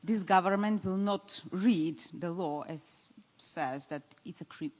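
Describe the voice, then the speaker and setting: A woman speaking as a government representative, in halting English with frequent restarts. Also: on the low side, darkish tone. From North Macedonia. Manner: halting, non-native phrasing with restarts. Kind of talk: panel answer. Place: large conference hall. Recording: reverberant conference hall.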